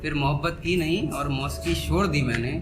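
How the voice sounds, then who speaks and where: Man, fairly high voice, poetry recital, deliberate pauses, repeated lines, clear instrumental music behind. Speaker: poet performing on stage. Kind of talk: live Hindi-Urdu poetry recital with spoken asides. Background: soft instrumental background music.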